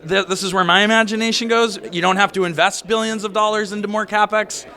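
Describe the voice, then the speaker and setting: A man interviewing a guest as a friendly skeptic, talking fast and swearing casually. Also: high voice, steady tone, pushed and bright. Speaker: interviewer, startup founder.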